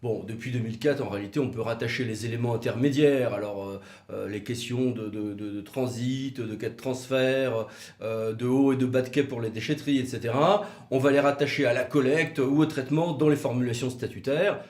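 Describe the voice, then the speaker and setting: A man presenting an explanatory legal video in a conversational way, with rhetorical questions and hesitant pauses. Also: medium pitch, steady tone, a little mellow. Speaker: legal commentator for a law firm, co-presenter. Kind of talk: explanatory legal video, semi-scripted two-hander. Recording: roomy, echoing sound.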